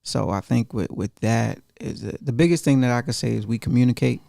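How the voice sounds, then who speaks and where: A soft-spoken man in his forties with a gravelly voice, pausing often with ums as he answers a host. He speaks on a close studio mic in a dry room. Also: mid-range voice, no room sound. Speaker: guest entrepreneur. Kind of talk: interview answers.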